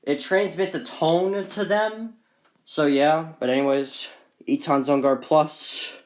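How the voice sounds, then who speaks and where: A man's voice: a little high, takes it slowly. A man casually presenting a collection in an off-the-cuff, rambling, matter-of-fact way, recorded on a handheld phone. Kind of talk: casual off-the-cuff show-and-tell.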